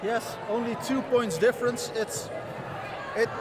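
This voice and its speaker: A man's voice: very high-pitched, fairly even intonation, unhurried. A man commentating live on a roller derby game, hesitant with many fillers. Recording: loud crowd noise. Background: cheering crowd.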